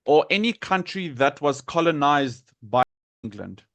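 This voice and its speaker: The South African man at the front of an English class, sounding words out deliberately in a close, dry recording. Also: fairly high pitch, expressive, fairly bright tone, no room sound. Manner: deliberate, repeats and enunciates words for learners. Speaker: English teacher. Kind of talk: live lesson explaining pronunciation to students.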